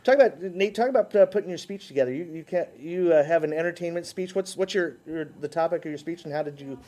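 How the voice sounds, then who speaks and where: A man hosting a casual interview, halting and repeating words as he asks friendly questions. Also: a little high, dark tone. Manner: laughs easily, friendly questioning. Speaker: host.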